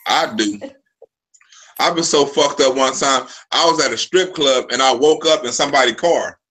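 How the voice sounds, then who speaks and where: A man's voice: mid-range voice, normal speed, bright, forceful tone. A man in his forties hosting a live stream, telling loose, profane stories full of restarts. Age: 40s. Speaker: live show host. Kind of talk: casual live-stream chat.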